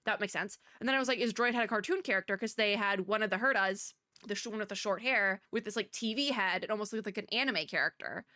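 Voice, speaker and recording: A woman giving casual, excited reaction commentary in a chatty, rambling and expressive way. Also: mid-range voice, quick pace, dry recording, clean studio sound. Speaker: reaction video host.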